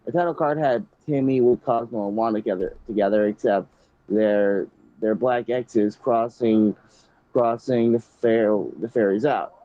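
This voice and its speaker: A man's voice: medium pitch, a little slow, mellow, dry recording, dull audio. Stumbling young man reading aloud. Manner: stumbling, restarting read-aloud with laughs. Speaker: host reading a story aloud. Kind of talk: casual storytime reading with commentary.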